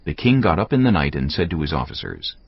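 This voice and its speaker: Very deep, gravelly male narrator. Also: wildly swooping pitch, fast talker, no room sound. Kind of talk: scripted dramatised Bible narration. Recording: dull, muffled audio over music.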